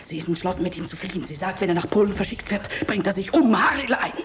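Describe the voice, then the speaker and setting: An actress playing a middle-aged stage star in a radio play, theatrical, bossy and teasing. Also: female voice, medium pitch, expressive, fast, lightly acted. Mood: urgent, hushed. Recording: noisy radio drama with crowd behind.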